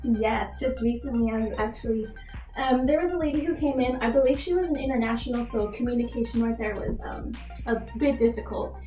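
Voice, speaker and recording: A woman's voice: a little high, brisk, dark tone, reverberant, faint music behind, poor audio. A young woman from Texas answering interview questions earnestly, peppering her speech with "like" as a filler. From Texas, United States. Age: young adult. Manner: earnest, filler-heavy with frequent "like". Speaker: job applicant being interviewed, retail worker.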